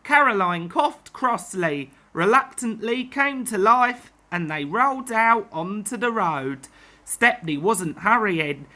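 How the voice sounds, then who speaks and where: A woman storyteller reading a children's tale aloud in an expressive narrating style. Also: mid-range voice, slow pace.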